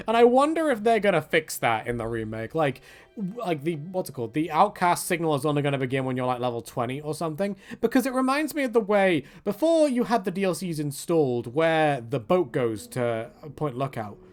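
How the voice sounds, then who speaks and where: A man giving casual, opinionated video game commentary in a rambling, joking, self-deprecating and slangy way. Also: fairly high pitch. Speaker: gaming video creator.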